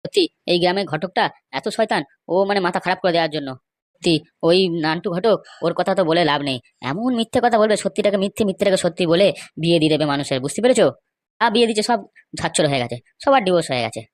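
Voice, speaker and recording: Woman doing aggrieved cartoon village guy out for revenge, talks super fast, gossipy, dry close sound. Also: on the low side, animated, no echo, natural voice. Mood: scheming, resentful. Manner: emphatic, gossipy, rapid-fire complaining. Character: aggrieved, scheming male villager bent on revenge. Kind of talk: amateur comedy cartoon dialogue.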